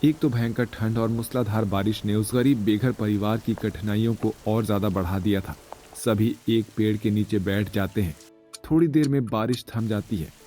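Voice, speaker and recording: A man's voice: lowish voice, normal speed, mellow, soft background music. A man narrating a scripted moral story as the storyteller.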